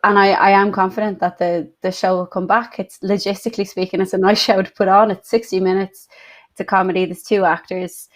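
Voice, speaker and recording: A woman's voice: medium pitch, level tone, fairly quick. A woman, a playwright, chatting casually as an interview guest on a video call. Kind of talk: casual chat.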